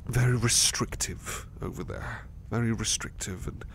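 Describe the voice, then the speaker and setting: Casting: male actor as gentle sleepy servant for ASMR roleplay, low creaky voice, anxious, halting, devoted. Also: fairly even intonation. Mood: troubled, weary. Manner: hesitant, stammering, apologetic. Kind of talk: soft-spoken ASMR roleplay acting. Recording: faint background noise.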